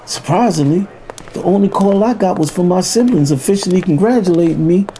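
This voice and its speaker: A mellow male voice pitched a bit high, narrating his family drama in the first person. His telling is measured and matter-of-fact, over faint background ambience. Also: lively intonation, slight background noise, poor audio. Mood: tense, reflective. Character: grown son narrating tense family troubles.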